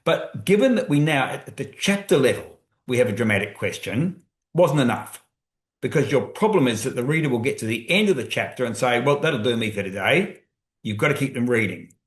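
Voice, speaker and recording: A man speaking as a co-author in a webinar, explaining at length and restarting phrases as he thinks aloud. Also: medium pitch. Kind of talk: webinar talk. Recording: roomy echo.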